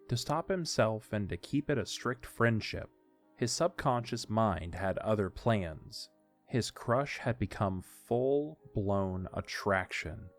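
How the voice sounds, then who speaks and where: A man reading scripted story narration as the narrator of an audio drama. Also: fairly low pitch. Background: faint music.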